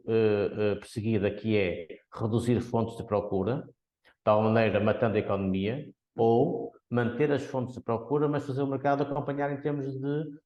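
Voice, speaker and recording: A man from Porto with a Porto accent, an economist guest on a podcast, explaining his views in a measured way with frequent restarts. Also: mid-range voice, darkish tone, echoey room, lo-fi sound. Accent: Portuguese, Porto. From Porto, Portugal. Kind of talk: podcast interview.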